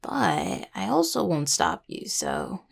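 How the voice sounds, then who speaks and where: A woman playing a grieving, tender young necromancer in an intimate audio roleplay, speaking haltingly and apologetically to a partner. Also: medium pitch, huge pitch swings, creaky, darkish tone, heavily acted. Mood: teasing, affectionate.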